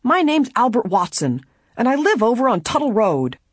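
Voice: medium pitch, very animated, normal speed, slightly rough, no room sound, voice pushed up. A narrator voicing an indignant man of about fifty who protests insistently in an audiobook. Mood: defensive.

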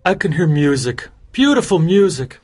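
A man voicing a fragile, childlike grown man in a storybook, simple and wistful, with a husky voice. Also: on the high side, big pitch swings, normal speed, a little mellow, voice pushed up. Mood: delighted, dreamy.

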